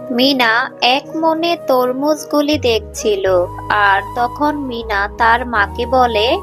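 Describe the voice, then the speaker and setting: A woman reads a scripted children's story as narrator. Her voice is high and unhurried, and music plays underneath. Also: a bit bright. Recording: music behind the voice.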